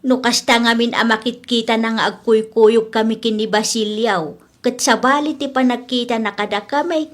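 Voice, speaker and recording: High-pitched older village mother, acted by a woman. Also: fairly even intonation, normal speed, close to an everyday voice. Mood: hurt, troubled. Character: older village mother, affectionate and easily wounded. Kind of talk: Ilocano audio comedy drama acting.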